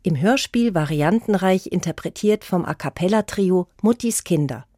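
A woman's voice: lowish voice, lively intonation, normal speed, dark tone, no echo, clean studio sound. A woman reading a scripted cultural radio review in a composed, articulate way. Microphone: close studio microphone.

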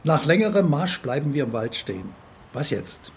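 A man's voice: on the high side, big pitch swings, moderate pace. A man giving a first-person eyewitness account in plain, simple sentences. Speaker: reader of a prisoner's testimony. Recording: faint background noise.

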